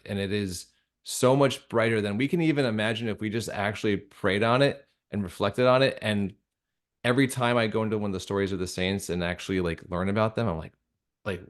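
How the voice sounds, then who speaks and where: A man speaking earnestly and haltingly in a conversational interview, repeating phrases for emphasis. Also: on the low side. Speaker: interview guest, radio drama creator.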